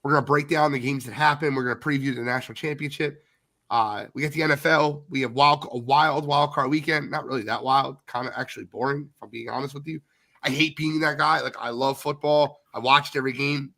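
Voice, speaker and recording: A man hosts a sports podcast with a bright, forceful tone. He's congested from a cold but still blunt and opinionated, calling things as he sees them. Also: medium pitch, fairly even intonation, brisk. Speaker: podcast host.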